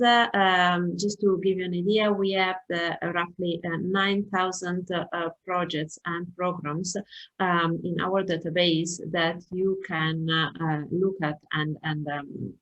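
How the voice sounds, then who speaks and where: A woman's voice: lowish voice, steady tone, slow pace, fairly bright tone, highs cut off. Hesitant middle-aged woman on a video call. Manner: hesitant, many fillers and restarts. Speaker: panelist, transport economist. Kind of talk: online panel introduction.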